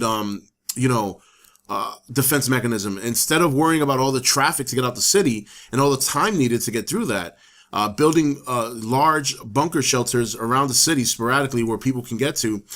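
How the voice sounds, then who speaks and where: Man, channel host, slightly hoarse, rambling, full of fillers, casual. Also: mid-range voice, a bit bright. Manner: rambling, many fillers and restarts. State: hoarse from the flu. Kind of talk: casual opinion talk to camera.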